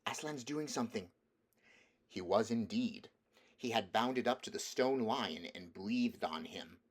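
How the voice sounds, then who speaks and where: A man's voice: on the low side, a little slow. A man reading a children's storybook aloud as scripted narration. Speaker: audiobook reader.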